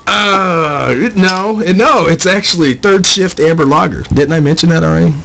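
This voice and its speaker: A man's voice: on the high side, normal speed, creaky, background noise. A man hosting a casual paranormal radio show, joking and laughing as he rambles through banter with his co-host. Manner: jokey, laughs often, rambling.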